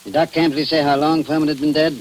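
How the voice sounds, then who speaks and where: An actor in an old radio drama playing a plain-spoken, unruffled small-town police chief with a folksy, dry manner. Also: male voice, on the high side, little pitch movement, quick pace, close to an everyday voice. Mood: probing. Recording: hissy vintage radio broadcast recording.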